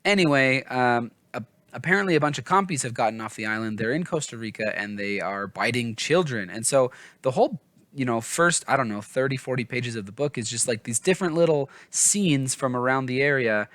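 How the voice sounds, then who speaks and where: A man's voice: mid-range voice, lively intonation, fairly quick, fairly bright tone, dry recording. A man talking casually as a book vlogger, informal and self-deprecating, often wandering off on side thoughts. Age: adult, father of small children. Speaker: book reviewer and vlog host. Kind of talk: casual, rambling book discussion.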